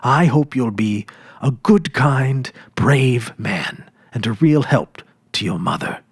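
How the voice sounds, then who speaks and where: A man voicing a gentle sixteen-year-old farm girl in a storybook, a caring elder sister who speaks softly and patiently with quiet firmness. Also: mid-range voice, lively intonation, slow, breathy edge, no room sound, exaggerated voice. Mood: tender. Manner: soft, patient, gently firm.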